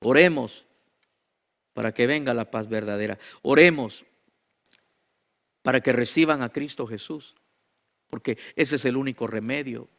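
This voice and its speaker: Casting: male preacher, Guatemalan accent, mid-pitched with a creaky grain, emphatic sermon delivery built on repetition and pauses. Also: a bit bright. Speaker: pastor and teacher. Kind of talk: sermon to a congregation.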